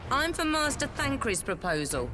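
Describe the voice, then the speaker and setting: A woman's voice: high voice, big pitch swings, normal speed, over music. A woman playing a stern, resolute admiral in a video game cutscene, with authoritative, old-fashioned formal speech.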